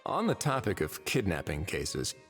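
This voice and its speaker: A shrewd official from a video game. His voice is low and unhurried, with dry wit and easy authority. Music plays faintly behind him. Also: wildly swooping pitch, fairly bright tone, heavily acted. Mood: wry, musing. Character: wry, laid-back, shrewd male official in command. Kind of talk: English video game voice acting.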